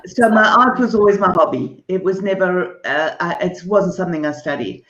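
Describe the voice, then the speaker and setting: A woman from Zimbabwe and South Africa with a South African accent, a guest answering interview questions in a relaxed, thoughtful way with many hesitations. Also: on the low side, moderate pace. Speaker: artist and author, interview guest. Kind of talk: casual video-call interview. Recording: dull lo-fi video call in a roomy space.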